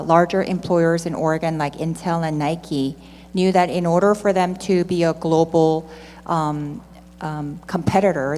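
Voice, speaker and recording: A woman's voice: fairly low pitch, a little mellow. Woman speaking in a reverberant hall. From Southern California. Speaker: nonprofit executive director, panelist. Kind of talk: informal panel introduction. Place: large reverberant hall at a conference. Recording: noisy hall with long reverb, hum.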